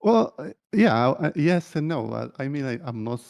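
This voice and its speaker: A man's voice: mid-range voice, sing-song, normal speed, gravelly, darkish tone. A middle-aged man from Algeria, a tech executive, answering interview questions casually and hesitantly with many fillers. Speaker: CTO.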